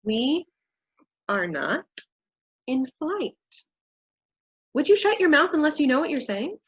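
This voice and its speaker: A woman's voice: high voice, big pitch swings, slow pace, muffled recording, full character voice. A woman acting an angry, bossy stickler for rules in a staged play reading, scolding and insistent. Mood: indignant.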